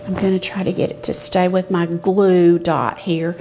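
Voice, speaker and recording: A woman's voice: fairly low pitch, little pitch movement, moderate pace, warm tone. A middle-aged woman hosting a casual cooking and craft demonstration, explaining step by step in a chatty, folksy way. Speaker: cooking show host, extension educator. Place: medium-sized indoor demonstration kitchen. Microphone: lapel mic. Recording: clear background noise with clicks.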